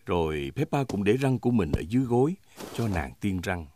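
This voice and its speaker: A man's voice: lowish voice, very animated, a little fast, mellow, slight background noise. A man narrating a children's cartoon as a friendly storyteller, over music. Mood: storytelling.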